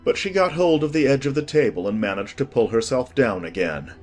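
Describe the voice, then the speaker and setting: Guy reading a storybook, husky and expressive, deliberate delivery, soft music underneath. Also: mid-range voice. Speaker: narrator. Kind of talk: scripted storybook narration.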